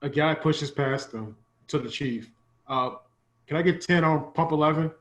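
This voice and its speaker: A performer improvising sketch comedy in a casual, halting way. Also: mid-range voice, a bit bright, reverberant.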